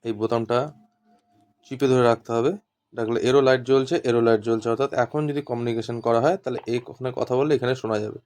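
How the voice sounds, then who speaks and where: A man's voice: medium pitch, fairly even intonation, fast talker, darkish tone. A man giving an informal hands-on tutorial as an instructor, explaining step by step and repeating himself. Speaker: instructor demonstrating walkie-talkies.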